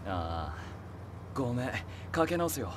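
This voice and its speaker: A man acting as a brash, stubborn young demon hunter in a video game cutscene, gruff and curt with slangy speech. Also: mid-range voice, sing-song, measured pace, heavy background noise. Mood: casual, flustered. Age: young adult. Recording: loud music behind.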